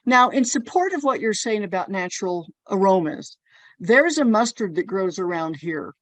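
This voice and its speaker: A woman's voice: mid-range voice, sing-song, normal speed, no room sound. Chatty woman host telling personal stories. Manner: chatty storyteller, personal anecdotes. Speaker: podcast host. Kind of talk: casual conversation.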